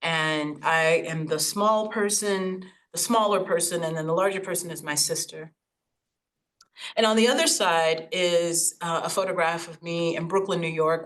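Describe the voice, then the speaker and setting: A woman raised in the United States by Haitian parents, Haitian Creole her first language, giving a reflective talk over slides and often pausing to search for words. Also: lowish voice, fairly bright tone. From United States, Haitian family. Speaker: guest speaker. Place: online recording, video call.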